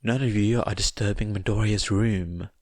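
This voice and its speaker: A man's voice: fairly low pitch, fairly even intonation, normal speed, a little mellow, no room sound, studio quality, close to an everyday voice. A man voicing a confident woman teacher, firm and teasing, in an audiobook.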